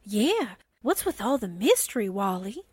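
A woman's voice: medium pitch, big pitch swings, a little slow, breathy edge, no room sound, studio quality. An actress playing a nosy, incredulous, slangy newspaperwoman sidekick in a 1940s-style radio drama. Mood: intrigued, shocked.

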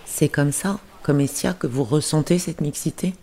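A radio book-show host asking calm, probing questions of an author. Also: mid-range voice, normal speed, vocal fry, soft background music. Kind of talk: interview questions.

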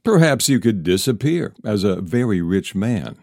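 An actor playing a composed, calculating and persuasive police official in a crime audio drama. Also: male voice, fairly low pitch, very animated, moderate pace, darkish tone, no echo, clean studio sound.

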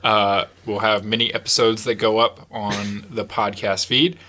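Male podcast host, bright voice, a little sniffly, chatty and loose. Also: on the low side, flat delivery, a little slow. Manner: laughs often, rambling with fillers. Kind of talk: casual podcast chat. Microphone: headset mic.

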